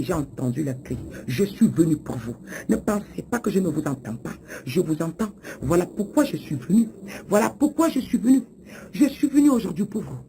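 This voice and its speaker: A preacher delivering a prophetic denunciation as the voice of God, in a repetitive, accusatory, declamatory manner, with a husky, raspy voice. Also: medium pitch, dark tone. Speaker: self-proclaimed prophet speaking as God.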